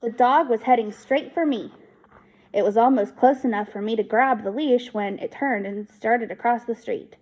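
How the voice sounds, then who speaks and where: A woman teacher reading a children's book aloud in an expressive storytelling style. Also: on the high side, moderate pace, poor audio.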